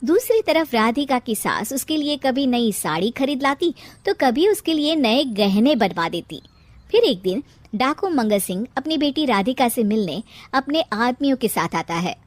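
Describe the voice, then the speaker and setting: A woman's voice: on the high side, very animated, moderate pace, dry recording. A woman narrating a scripted cartoon family story in a storytelling style.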